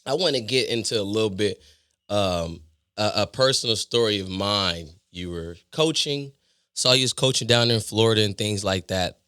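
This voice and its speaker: A man from Indiana hosting a podcast, asking questions in a relaxed, agreeable, conversational way. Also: fairly low pitch, moderate pace, clean tone, no room sound, clean studio sound. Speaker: podcast host. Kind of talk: casual interview.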